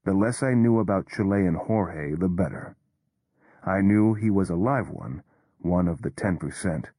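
A man with a deep, husky voice narrates a story in the first person. His delivery is measured and understated, never overplayed. The recording is close and dry. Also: expressive, warm tone, dry recording.

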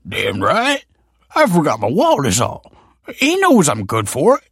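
A man voicing a whiny, hapless, scrawny deadbeat foster father who moans and mumbles defensive excuses in a strained, husky voice. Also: a little high, wildly swooping pitch, unhurried, a bit bright, no echo, clean studio sound, voice pushed up, heavily acted.

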